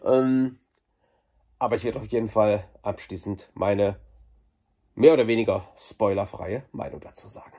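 A man in his forties, chatty and enthusiastic, talking casually through an unboxing review with a slightly husky voice. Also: on the low side, moderate pace, pauses often, dark tone. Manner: chatty, enthusiastic fan talk. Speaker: video reviewer.

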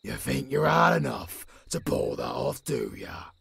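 A man playing a gruff, centuries-old werewolf mentor in an audio roleplay, with a British accent, a raspy voice and a stern, scolding manner. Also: medium pitch, animated, measured pace, fairly bright tone, full character voice. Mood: stern, mocking. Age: older. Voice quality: raspy, gravelly. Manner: stern, swearing, scolding drill-master.

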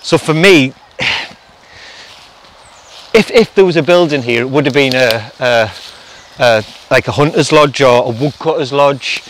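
A man's voice: mid-range voice, unhurried, a little hiss or hum. Man musing outdoors in woods. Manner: speculative, explaining as he goes. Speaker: vlog host restoring a château. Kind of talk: casual walk-and-talk vlog narration. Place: outdoors in a forest.

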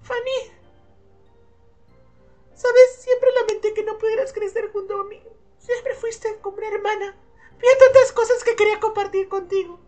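Devoted young cartoon best friend, female male performer, earnest delivery, very high pitch. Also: fairly even intonation, normal speed, pauses often, a little mellow, soft background music. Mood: wistful, encouraging.

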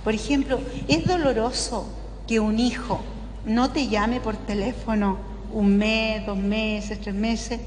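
A woman's voice: fairly high pitch, fairly even intonation, slow, darkish tone. Older Chilean woman preaching in an echoey hall. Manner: conversational, checking in with frequent tag questions. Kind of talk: informal spoken sermon to a live audience. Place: large indoor parish hall. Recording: echoey hall with clear background noise.

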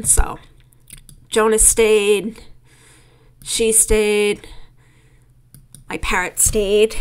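A young woman with a New York accent talks through a story game at an unhurried, easy pace. She laughs often between lines and reads the on-screen text aloud in a casual, chatty way. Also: medium pitch. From New York City. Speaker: game creator playing through a demo. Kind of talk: casual game walkthrough commentary.

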